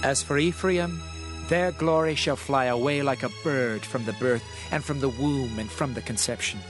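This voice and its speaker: A man's voice: medium pitch, moderate pace, over music, natural voice. A man playing a stern prophet who speaks for an angry God, declaiming scripture solemnly in a dramatized reading. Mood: grave, condemning.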